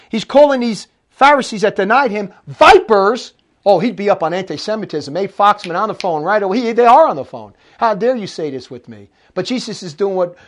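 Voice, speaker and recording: A man preaching in a recorded lecture, his voice pitched high for a man. He talks emphatically and slows down to read scripture aloud.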